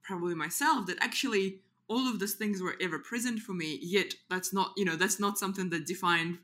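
A woman's voice: medium pitch, a little fast, fairly bright tone. A woman from Kazakhstan hosting a podcast in a casual, conversational interview style. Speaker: podcast host. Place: at home.

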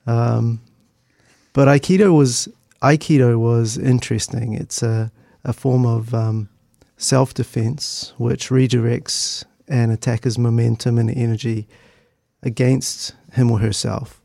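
A man's voice: mid-range voice, steady tone, vocal fry, mellow, dry recording, clean studio sound. A middle-aged man with a New Zealand accent, reminiscing conversationally and hesitantly as an interview guest. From Christchurch, New Zealand. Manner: hesitant, halting, thoughtful delivery.

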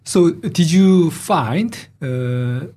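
Brief: Korean-accented male radio host, husky voice with lively pitch, curious interviewer. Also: drawn-out words. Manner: inquisitive, switches between English and Korean. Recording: community radio studio.

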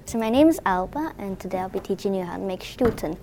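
A woman's voice: mid-range voice, expressive, fast talker, over loud music. An eleven-year-old girl from Belgium chatting hesitantly with many ums while she teaches a group of children how to bake a sweet bread at a community hub. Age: 11 years old. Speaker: young baking tutor. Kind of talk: casual workshop instruction.

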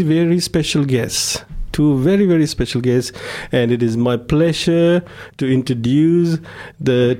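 A man's voice: medium pitch, warm tone. A man hosting a live community radio interview in a studio, effusive and given to repeating words for emphasis. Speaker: radio host.

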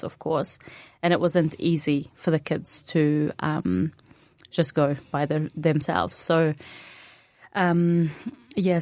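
Palestinian woman, native arabic speaker, young adult, low voice, soft-spoken, many pauses, dry studio sound. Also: no room sound. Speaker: interview guest. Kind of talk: radio interview conversation.

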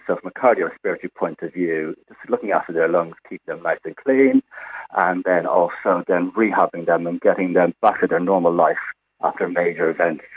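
A man's voice: sits low, husky. An Irish man, a hospital physiotherapist, explaining his work in a chatty way with many fillers during a radio phone interview. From Ireland. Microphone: phone line. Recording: tinny phone line.

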